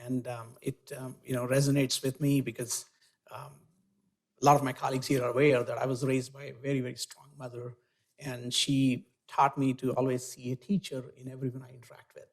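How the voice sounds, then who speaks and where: A man's voice: mid-range voice, flat delivery, a little fast. A man moderating a university lecture, giving a formal, eager introduction and then interviewing the guest, with small hesitations. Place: university auditorium, live audience.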